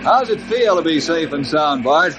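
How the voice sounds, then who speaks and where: A man's voice: on the high side, animated, normal speed, music in the background, lightly acted, poor audio. A man playing a friendly, fatherly ranger leader with an instructive manner in an old-time radio adventure. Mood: reassuring, instructive. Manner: avuncular, instructive.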